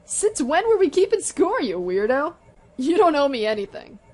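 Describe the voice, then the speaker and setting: A woman's voice: high-pitched, big pitch swings, normal speed, darkish tone. A woman in a radio play voicing a laid-back, slangy female pony DJ who is a loyal friend. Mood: breezy, friendly. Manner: slacker slang, easygoing.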